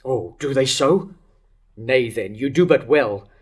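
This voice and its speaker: A man's voice: mid-range voice, lively intonation, slow pace. An older man playing a wavering, lovesick king in a declamatory staged reading of verse tragedy. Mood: questioning. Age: older, about 60s to 70s. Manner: declamatory, rhetorical questioning. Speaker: actor reading Herod in a staged play reading. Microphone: stand microphone at a lectern.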